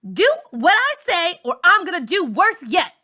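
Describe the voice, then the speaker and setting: A woman voicing a mean, bossy schoolgirl bully in a story reading, with a forceful, taunting, threatening manner. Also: very high-pitched, big pitch swings, measured pace, pitched above the performer's own voice, full character voice. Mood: menacing. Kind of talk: dramatic audiobook character voice.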